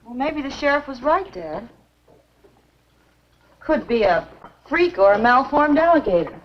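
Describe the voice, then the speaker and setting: Casting: mild young heroine, fairly high voice, understated, dull vintage film sound. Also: female voice, big pitch swings, unhurried. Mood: tentative. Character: mild, sensible young woman, the doctor's daughter. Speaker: film actress. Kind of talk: 1950s B-movie screen acting. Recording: muffled, noisy 1950s film soundtrack.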